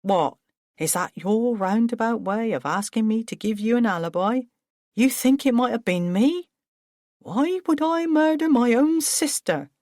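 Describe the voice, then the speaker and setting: A woman's voice: lowish voice, animated, normal speed, a little mellow, no echo, studio quality, voice pushed up. A woman voicing a flustered, self-pitying middle-aged businessman who turns defensive and agitated. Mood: indignant. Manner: agitated, defensive, rising to outbursts.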